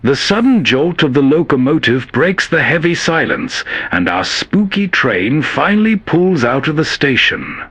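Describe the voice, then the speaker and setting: A man's voice: fairly high pitch, sing-song, a little slow, hardly any pauses, a bit bright. A man hosting with scripted, atmospheric storytelling narration. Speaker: narrator and host.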